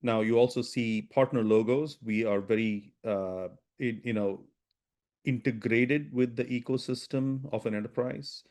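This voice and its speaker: A man presenting a business webinar, talking quickly with frequent restarts and "right?" tags. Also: medium pitch, steady tone, a little mellow. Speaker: webinar presenter, product strategy VP.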